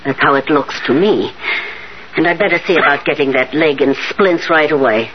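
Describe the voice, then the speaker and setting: Skeptical doctor, male actor, measured and matter-of-fact, dull vintage radio drama recording with some hiss. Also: fairly high pitch, close to an everyday voice. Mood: brisk, doubtful. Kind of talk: 1970s radio drama acting. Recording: muffled, hissy 1970s radio recording.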